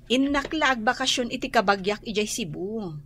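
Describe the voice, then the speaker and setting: A woman's voice: on the high side, expressive, moderate pace, a bit bright, slightly rough. A woman with a Filipino accent playing an easygoing woman in a radio drama. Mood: breezy.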